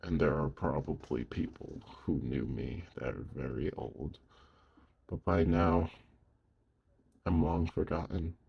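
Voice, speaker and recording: A man playing a gruff, lonely old werewolf in an intimate ASMR roleplay, weary but gentle, speaking slowly and hushed with a husky, gravelly voice. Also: rumbling low, steady tone, highs cut off, exaggerated voice. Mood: wry, reserved. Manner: slow, hushed, with long pauses.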